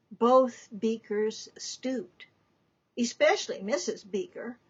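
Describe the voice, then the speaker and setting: Slow, high-voiced woman storyteller. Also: muffled recording. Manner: deliberate, theatrical, emphatic pauses. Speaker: author reading from own book. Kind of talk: dramatic scripted storytelling.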